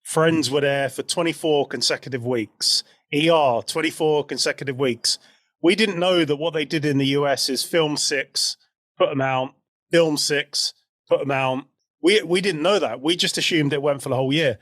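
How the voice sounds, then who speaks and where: A man's voice: fairly high pitch, moderate pace, fairly bright tone, no room sound, studio quality. A man co-hosting a podcast, explaining things in an easygoing, casual chat about pop culture. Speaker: podcast co-host.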